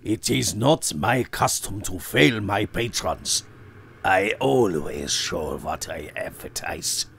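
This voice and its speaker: A man voicing a cold, sly older circus owner who threatens with soft, mocking politeness. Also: deep voice, animated, takes it slowly, creaky, slight background noise, voice pushed up, heavily acted. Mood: silkily menacing.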